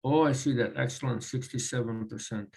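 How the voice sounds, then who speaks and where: The voice is a man with a Croatian accent, speaking as a guest presenter over a video call. His pitch sits mid-range for a man, and he talks in a halting way, filling gaps with ums and uhs. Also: vocal fry, darkish tone. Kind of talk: online presentation.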